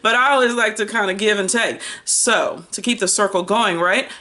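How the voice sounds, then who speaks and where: An American woman in her fifties talking casually as a book vlogger, chatty and animated, wandering off on tangents and drawing out words for emphasis. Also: medium pitch, moderate pace. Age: 50s. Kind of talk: casual rambling chat to camera.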